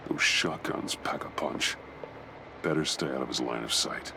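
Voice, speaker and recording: A man voicing a grim, brooding masked crime fighter in a video game, muttering tersely in a gravelly growl. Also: very deep voice, normal speed, background noise. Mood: focused, wary.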